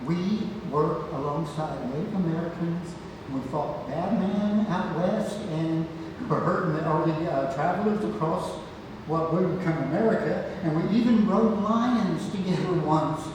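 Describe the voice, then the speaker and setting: A man's voice: a little high, a little slow, lightly acted. A man playing a spirited old grandfather who tells adventure tales emphatically in a stage play read-through. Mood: nostalgic. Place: indoor theatre, recorded from afar. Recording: roomy echoing hall, distant mic, noisy.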